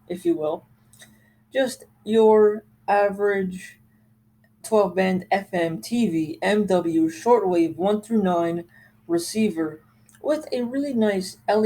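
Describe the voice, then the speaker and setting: A vlog host with a soft, mellow voice at a middling pitch gives a casual, informal show-and-tell review. The delivery is enthusiastic about each item. There is some faint noise behind the voice. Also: rough recording. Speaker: host of a gadget collection video.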